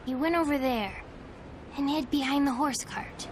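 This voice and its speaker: A woman's voice: sits high, moderate pace, noisy background, natural voice. A woman voicing a blunt orphan street girl. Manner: flat, blunt. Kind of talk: game cutscene voice acting.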